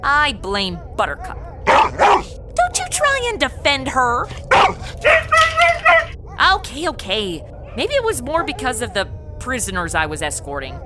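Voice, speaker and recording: A woman's voice: fairly high pitch, wildly swooping pitch, unhurried, long pauses, over loud music. A woman playing a young modern policewoman who complains sarcastically in a comedy audio drama. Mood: grumpy complaint.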